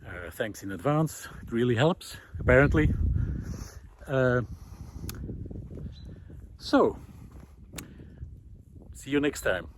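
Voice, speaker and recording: Thoughtful, halting man with outdoor background noise. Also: mid-range voice, lively intonation. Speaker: host of a walking video series. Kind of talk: reflective monologue while walking. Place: outdoors on a country road in hilly farmland. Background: outdoor ambient noise.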